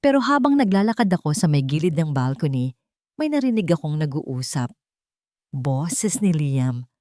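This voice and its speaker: Female narrator for sentimental romance audio stories; slightly low voice, dry recording. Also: darkish tone, dry recording. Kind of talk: dramatic first-person romance story narration.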